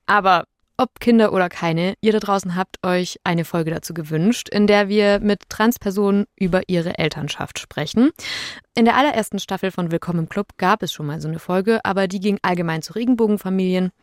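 A woman's voice: mid-range voice, fairly quick, no room sound. Young woman, podcast host, casual chat, dry close sound. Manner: chatty, mixes English words into speech. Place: studio.

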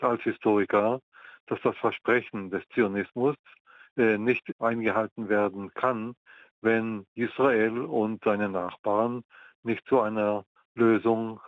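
An older man from the Mandate of Palestine, speaking as a historian in an interview, measured and pausing often with ums. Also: on the low side, dry recording. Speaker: guest historian. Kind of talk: interview answers. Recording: tinny phone line, dry.

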